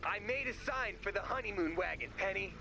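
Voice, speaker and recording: A man's voice: high voice, animated, fairly quick, vocal fry, background noise. A man playing an eager, naive, goofy sidekick in a video game, earnest and guileless. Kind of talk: animated video game voice acting.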